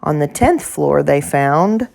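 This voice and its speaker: A woman reading a children's picture book aloud as expressive, scripted narration. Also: sits low, takes it slowly, gravelly. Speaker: storybook reader.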